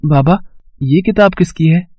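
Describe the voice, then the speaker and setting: A man's voice: on the high side, a little slow, mellow, slightly rough, no room sound. A young man acting an earnest, hardworking poor student boy with a hesitant manner in a moral story. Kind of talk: acted dialogue for an animated moral story.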